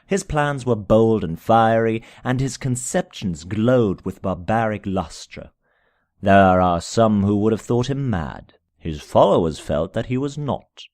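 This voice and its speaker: A man with a fairly low voice narrates a gothic tale in a dry, close sound. His delivery is measured and theatrical, with solemn weight and hushed drops. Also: animated, dry recording. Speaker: amateur reader of a short story. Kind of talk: dramatic scripted narration of a gothic horror tale.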